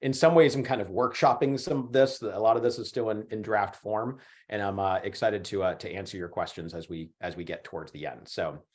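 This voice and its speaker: A hoarse man in his forties with a chest cold, a history professor giving a casual online lecture with many fillers and restarts. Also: on the low side, a little fast, darkish tone. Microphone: computer mic on a video call.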